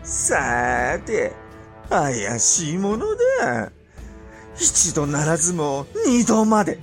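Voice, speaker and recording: An actor playing an imperious, impatient and suspicious medieval Japanese ruler in a period audio drama, with theatrical, drawn-out emphasis. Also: male voice, on the high side, big pitch swings, gravelly, faint music behind, heavily acted. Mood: scornful, indignant. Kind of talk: period radio drama acting in archaic speech.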